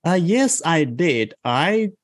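A man with a Chinese accent speaks at an unhurried, deliberate pace. He is a guest in an interview, thinking aloud as he tells his memories. The sound is close and dry, with little room around it. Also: on the high side, very animated, no room sound. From South China. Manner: slow, thoughtful, reflective storytelling. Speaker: guest, improv coach. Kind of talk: interview conversation.

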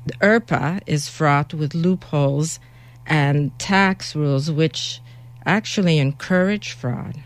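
A woman's voice: sits low, no room sound. Earnest woman lawyer on radio. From Canada. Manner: earnest, emphatic, with some restarts. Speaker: guest immigration lawyer. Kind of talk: radio panel talk.

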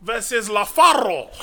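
A high, bright male voice full of energy. He hosts a comedy podcast and fires off jokes, laughing and getting loud as he riffs. His talk is quick, loose and playful. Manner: loud, laughing, fast joking delivery. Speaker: podcast host. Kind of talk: casual comedy podcast banter.

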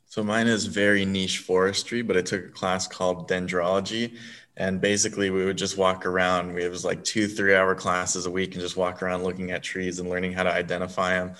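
Young man from SoCal, very low monotone, laid-back student. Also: brisk. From Southern California. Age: young adult. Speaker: student tour guide. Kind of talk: casual interview answers.

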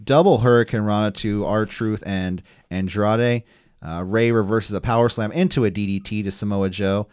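A man's voice: lowish voice, fairly even intonation, moderate pace, a little mellow, clear, resonant tone, no room sound, studio quality. A man co-hosting a casual podcast, running through wrestling results from his notes with frequent ums and uhs. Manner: reads match notes, many ums and uhs. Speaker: podcast co-host recapping wrestling. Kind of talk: casual chat, play-by-play recap.

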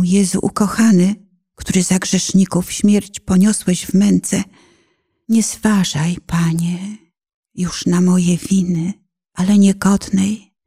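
A woman's voice: medium pitch, warm tone. A woman performing a penitent lost soul who prays fervently and solemnly in a poetic radio play. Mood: humble, imploring. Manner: fervent, pleading, solemn, sometimes dropping to whispers. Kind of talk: dramatic poetic recitation, prayer.

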